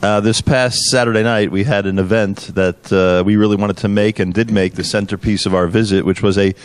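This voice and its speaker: A male host interviews his guests in an easygoing, chatty manner. His voice sits on the low side. The recording is dry and close, with no room sound. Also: steady tone, brisk, clear, resonant tone, dry recording. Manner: conversational, easygoing questioning.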